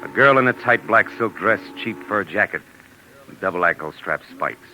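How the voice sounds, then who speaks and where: An actor playing a tough, wisecracking American private detective in clipped, sardonic 1940s radio noir style. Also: male voice, lowish voice, expressive, moderate pace, sharp tone, low-quality recording. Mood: brooding narration. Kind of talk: 1940s radio noir acting, hardboiled narration. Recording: hissy 1940s radio recording.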